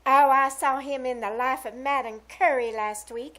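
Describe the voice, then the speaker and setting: A woman playing a flirtatious, coyly helpless widow who gushes and flatters in a 1940s radio comedy style. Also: sits high, normal speed. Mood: gushing. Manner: gushing, coy, wheedling. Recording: live theater stage performance.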